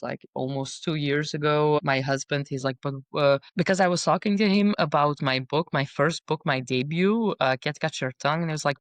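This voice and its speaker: Young non-native English-speaking woman, author guest; smooth clear voice, dry close mic, rambling and casual. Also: deep voice, no echo. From Bosnia and Belgium. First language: not English; speaks French, Dutch, Bosnian. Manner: chatty, lots of fillers and restarts. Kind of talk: casual podcast interview.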